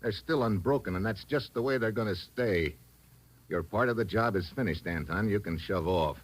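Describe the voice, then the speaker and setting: An actor playing a tough, wisecracking American adventurer, curt and sardonic, in a 1940s radio drama. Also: male voice, fairly low pitch, normal speed, background noise, natural voice. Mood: curt, commanding. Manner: hard-boiled, curt, sardonic.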